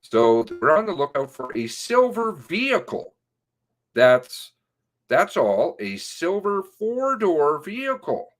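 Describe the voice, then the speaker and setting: A man reading an interview transcript aloud in a halting way, sometimes breaking off with asides of his own. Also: a little high, sing-song. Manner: halting, often breaking off to comment on the text.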